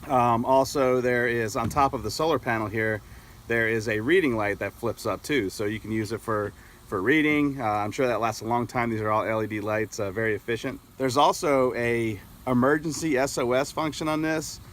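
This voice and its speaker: A man's voice: mid-range voice, steady tone, moderate pace, a bit bright, a little hiss or hum. American man giving a casual product demo. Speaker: product reviewer. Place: outdoors in an open grassy yard with trees.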